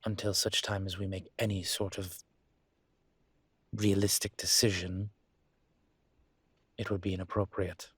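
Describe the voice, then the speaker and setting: Man acting as a deep, husky, courteous ancient incubus. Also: level tone, no echo. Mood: earnest, careful. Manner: measured, hesitant, formal wording. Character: ancient male incubus teacher, courteous, gently earnest, teasing. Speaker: voice actor in audio roleplay. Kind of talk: intimate one-sided audio roleplay acting.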